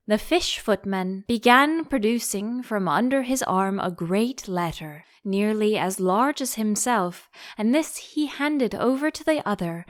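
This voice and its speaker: A woman reading a children's storybook aloud as an expressive audiobook narrator. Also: medium pitch.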